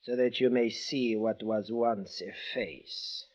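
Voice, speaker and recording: Bitter, menacing avenger, muffled radio. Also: male voice, lowish voice, steady tone, takes it slowly, background noise. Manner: formal, intense, theatrical. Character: bitter, menacing disfigured man obsessed with revenge. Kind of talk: 1940s radio drama acting. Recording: muffled old radio broadcast.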